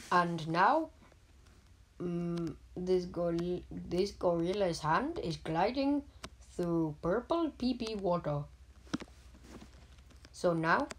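A speaker with an Indian accent comments on a mobile game in a flat, joking deadpan. The words come slowly and sit in a lowish register. A faint hiss runs under the home mic recording. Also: female voice. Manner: deadpan, halting, joking persona. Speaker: gaming YouTuber. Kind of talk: comedic gameplay commentary.

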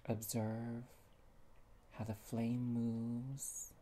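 A meditation guide speaking gently and soothingly, with long pauses and soft, breathy phrase endings. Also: medium pitch, completely flat delivery, slight background noise.

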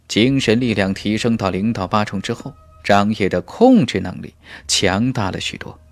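A man's voice: on the low side, no echo. A man narrating a fantasy novel as a scripted audiobook, with a dramatic storytelling delivery.

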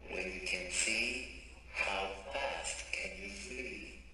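A man slowly reciting ominous verse, heard as a distant, noisy playback with heavy echo. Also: low-pitched, bright, forceful tone.

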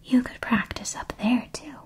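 Woman whispering as a gentle mother mouse. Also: a little high, close to an everyday voice. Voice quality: soft whisper. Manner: slow, hushed storytelling.

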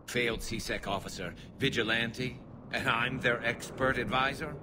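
An actor playing a loyal alien soldier and former vigilante in a video game, with a dry, wry manner. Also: male voice, mid-range voice, unhurried, noisy background, lightly acted. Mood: wry, uneasy. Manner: dry, wry, self-deprecating. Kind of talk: video game cutscene acting. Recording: battlefield ambience behind.

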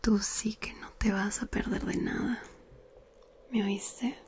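A woman's voice: mid-range voice, steady tone, creaky, a little mellow. A woman whispering as a flirty cousin. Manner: whispering close and slowly. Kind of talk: ASMR whispered roleplay. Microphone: close binaural mic.

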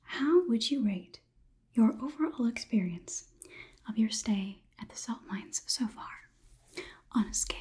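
A woman voicing a polite, condescending female robot overlord in a breathy whisper, measured and formal, as ASMR roleplay. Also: a little high, lively intonation, full character voice. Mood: politely officious. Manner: measured, formal, softly officious.

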